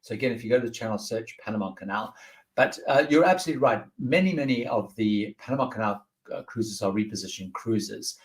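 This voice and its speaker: A man's voice: fairly low pitch, quick pace. Chatty man answering cruise questions. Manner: rambling, many fillers and restarts, friendly advice. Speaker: host of a live cruise Q&A. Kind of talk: casual livestream Q&A, reading viewer questions.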